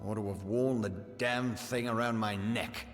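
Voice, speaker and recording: An actor playing a proud, commanding army officer in a fantasy video game, with an authoritative, blustering manner. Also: male voice, on the low side, fairly even intonation, measured pace, lightly acted. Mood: gruffly reflective. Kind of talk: video game character acting. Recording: long reverb, music behind.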